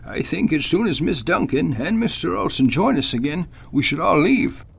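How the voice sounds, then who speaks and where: Man acting high-voiced, gravelly older judge. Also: big pitch swings, moderate pace, full character voice. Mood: suspicious, urgent. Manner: earnest, old-fashioned formal speech. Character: genial but suspicious veteran male judge, gentlemanly. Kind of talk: old-time radio drama acting.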